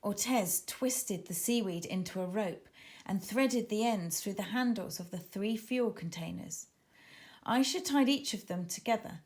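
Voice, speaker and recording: Expressive woman narrating a children's story. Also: mid-range voice, a little slow. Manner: dramatic, suspenseful delivery. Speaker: author reading own children's book.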